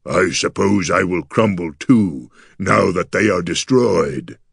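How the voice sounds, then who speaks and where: A timid, gentle gargoyle from a fantasy storybook. The voice is very low and extremely gravelly, with a husky rasp. He speaks slowly and mournfully, like a glum but kindly monster. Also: expressive, dry recording, studio quality, heavily acted. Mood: glum, sorrowful. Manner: slow, mournful delivery.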